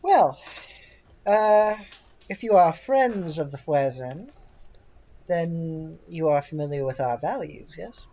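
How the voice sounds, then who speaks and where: A woman voicing a genial but authoritative patriotic military commandant, formal and halting in speech. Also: low voice, expressive, mellow, heavily acted. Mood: probing, cordial. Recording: dull lo-fi voice recording.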